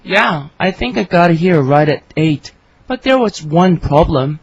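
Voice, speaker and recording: A woman reading her part in a scripted English conversation for learners, with clear, careful delivery. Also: on the low side, animated, a little slow, no room sound. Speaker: dialogue reader for English learners. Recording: dry, slightly dull studio recording.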